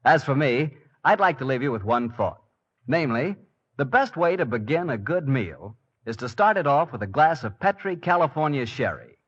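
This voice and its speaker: An American man working as a radio announcer and host, delivering introductions and sponsor pitches in a polished, folksy 1940s style. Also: sing-song, normal speed, breathy edge. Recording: dull vintage 1940s radio recording.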